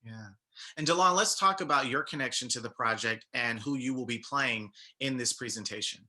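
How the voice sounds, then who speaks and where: An American man hosts a conversational interview. His voice is strikingly bright and crisp-edged, sitting at a middling pitch for a man. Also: moderate pace. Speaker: interview host.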